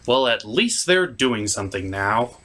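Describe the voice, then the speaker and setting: Sardonic reviewer host doing a scripted funny movie rant, really animated and emphatic. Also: mid-range voice, measured pace. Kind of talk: scripted comedic review narration.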